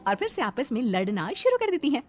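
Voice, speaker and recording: A woman tells an animated family tale as a scripted narrator. Her voice sits fairly high, with music playing underneath. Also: brisk. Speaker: story narrator.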